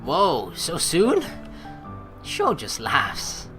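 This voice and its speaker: A young man hosting a game playthrough, reading dialogue aloud and adding casual, slangy, expressive commentary. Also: on the high side, drawn-out words. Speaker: let's play host. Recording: game music behind the voice.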